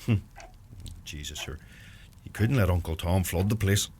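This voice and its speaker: A young farmer with a Donegal Irish accent, speaks in a fairly low voice. He is earnest, brooding and plain in his words, a man torn by guilt. Clear ambient noise sits behind him. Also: animated, moderate pace, dark tone. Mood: quiet, probing. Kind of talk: radio play acting.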